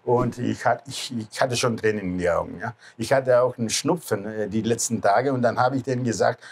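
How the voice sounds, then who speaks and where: A man's voice: medium pitch, fairly quick, creaky, husky. A man in his sixties with a Greek accent reminisces casually in non-native speech with loose grammar, often adding short questioning tags. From Greece. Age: 60s. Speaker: cruise ship captain. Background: background music.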